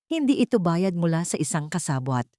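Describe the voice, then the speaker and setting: A woman plays a shrewd male detective reporting respectfully to his boss in an audio drama. The voice, very mobile pitch and a dry sound. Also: sing-song, brisk, dark tone, dry recording. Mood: factual, revealing. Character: shrewd, deferential male private investigator.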